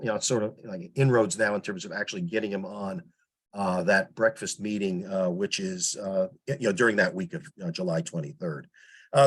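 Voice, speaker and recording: A man giving an informal report on a teleconference as a public relations volunteer, explaining things in a halting, meandering way. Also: on the low side, slightly rough. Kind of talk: informal spoken report in online meeting. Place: teleconference call.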